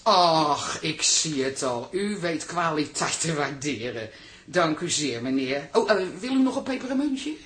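An actress playing a pushy, chatty toilet attendant in a Dutch radio comedy, insistent and mock-polite. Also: female voice, low-pitched, lively intonation, measured pace, fairly bright tone. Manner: insinuating, mock-polite, nagging. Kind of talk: radio comedy drama acting.

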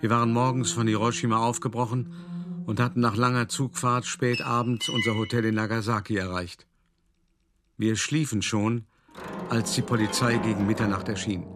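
An actor playing an elderly, wry and weary art dealer who recounts his life in a measured, dramatic way in a radio play monologue. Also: lowish voice, steady tone, music in the background, lightly acted. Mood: tense recounting. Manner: measured, dramatic, cultivated raconteur.

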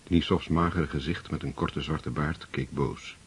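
A man playing the solemn narrator of a war tale in a radio drama, measured and literary. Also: a bass voice, fairly even intonation, breathy edge, heavily acted. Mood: grave, descriptive.

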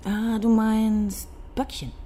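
An actress playing a sharp-tongued young archive clerk in a radio crime drama, wry and quick to take offence. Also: female voice, a little high, flat delivery, slight background noise.

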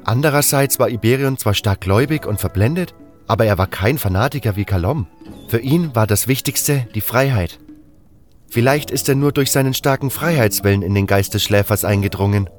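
A man's voice: mid-range voice, a little fast, natural voice. Learned apprentice mage , male reader, pondering and explanatory, fantasy audio drama, music underneath. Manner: explanatory, reasoning step by step.

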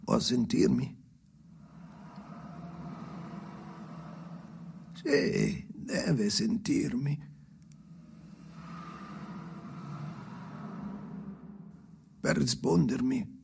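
Haunted, restless son addressing his dead father: very creaky, theatrical radio drama monologue over a noisy background. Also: mid-range voice, lively intonation, exaggerated voice. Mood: haunted, brooding. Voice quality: gravelly, creaky. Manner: theatrical, halting, obsessive, swinging from murmurs to shouts.

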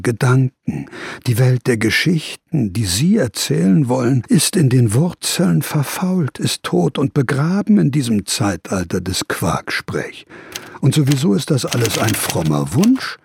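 A man's voice: medium pitch, big pitch swings, a little slow, gravelly, a little mellow, breathy edge, no echo, full character voice. An actor playing a sardonic, needling male adviser in a radio drama, with a dry, provocative, emphatic delivery.

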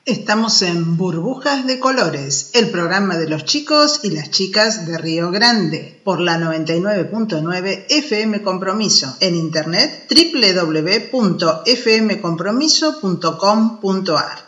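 This is an Argentine radio presenter on a children's programme, with a forward, bright voice. The delivery is chatty and explanatory, aimed directly at young listeners, at a middling pitch. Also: animated, unhurried, few pauses, husky, muffled recording.

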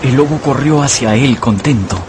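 A man narrating a dramatised radio story in a measured, dramatic style. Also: medium pitch, noisy background.

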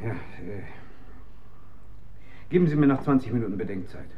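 A man's voice: lowish voice, animated, moderate pace, highs cut off, close to an everyday voice. An actor playing a disillusioned male scientist in a radio drama, reflective, hesitant and articulate. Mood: wary. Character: brooding, disillusioned male scientist at a weapons works. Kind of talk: German radio drama acting.

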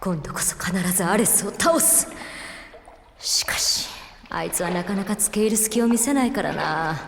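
A woman in a comedic Japanese audio drama playing a hot-blooded young arena fighter, rough and tomboyish, muttering to herself. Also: mid-range voice, animated, normal speed, reverberant, in a large hall, background noise. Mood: weary, grumbling.

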